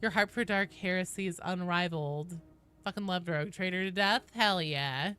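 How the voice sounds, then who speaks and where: A woman's voice: lowish voice, normal speed, bright, forceful tone, clear, resonant tone. A woman in her late thirties chatting casually on a game livestream and reading out viewer messages, informal and sweary. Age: late 30s. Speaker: game streamer.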